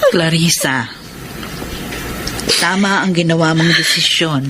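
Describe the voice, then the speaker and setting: A woman's voice: low-pitched, slow, background noise. An actress playing a caring, firm mother in a radio melodrama, speaking with earnest emphasis as she counsels. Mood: earnest advising. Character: caring, firm mother advising her grown daughter. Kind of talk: Filipino radio melodrama acting. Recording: dramatic music under voice.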